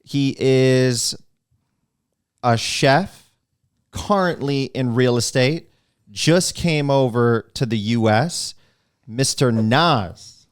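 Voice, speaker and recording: A man hosting a podcast, introducing a guest and asking questions in a measured, deliberate way. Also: mid-range voice, clean tone, no echo, clean studio sound. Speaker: podcast host.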